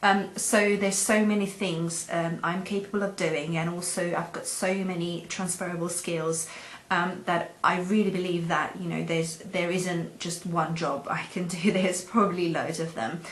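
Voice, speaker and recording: Young woman, kinda low voice, lots of ums, roomy echo and a faint hum. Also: steady tone, normal speed. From Hungary. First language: Hungarian. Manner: hesitant, many ums and uhs. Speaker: job seeker. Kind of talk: self-introduction video to employers. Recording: echoey room, faint background hum.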